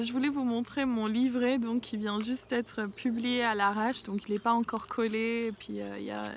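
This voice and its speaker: A woman with a head cold doing a casual spiritual card reading outdoors. She pauses often with fillers and sometimes drops to a hushed near-whisper. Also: on the high side, a little hiss or hum. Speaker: oracle card reader. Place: outdoors in a small mossy spot with violets.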